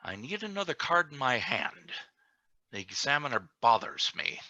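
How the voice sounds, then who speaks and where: Male actor as calculating deputy governor, piercingly bright, emphatic, dry close recording, radio drama. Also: medium pitch, very animated, moderate pace, no echo, full character voice. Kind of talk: radio play script reading.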